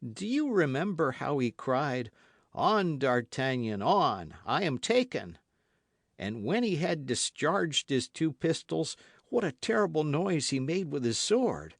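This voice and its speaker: A man reading aloud, voicing an excited servant who recounts a fight with animation. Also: a little high, slow pace, creaky, no echo, clean studio sound, pitched above the performer's own voice, heavily acted. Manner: excited, vivid storytelling.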